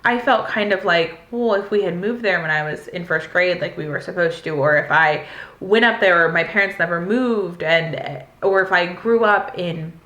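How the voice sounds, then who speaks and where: Young adult woman, candid and rambling storyteller, lowish bright voice, reverberant room. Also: moderate pace. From United States. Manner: candid, rambling, frequent "like" fillers and restarts. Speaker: vlogger. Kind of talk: casual personal storytelling. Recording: echoey room.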